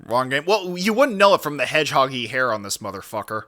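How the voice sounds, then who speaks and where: A male streamer with a bright, forceful voice reacts casually and with strong opinions. His pitch moves around with lots of animation. He is sardonic and swears freely. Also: big pitch swings, quick pace. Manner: sardonic, profane. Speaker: livestream host reacting to a video.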